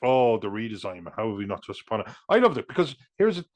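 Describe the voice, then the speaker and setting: A man, co-hosting a casual film chat, rambling with restarts and sudden animated asides. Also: fairly low pitch, fast. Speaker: podcast co-host.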